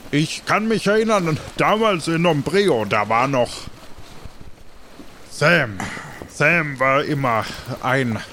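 A man's voice: fairly high pitch, animated, takes it slowly, a bit bright, slight background noise. A man playing a chatty, good-natured postman who sails passengers to a pirate island, in an improvised audio adventure with hesitant, colloquial delivery. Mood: helpful.

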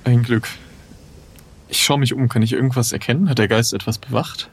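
A man's voice: mid-range voice, fairly even intonation, brisk, a little hiss or hum. A man role-playing casually as a player in an improvised adventure, speaking in a hesitant, inquisitive way.

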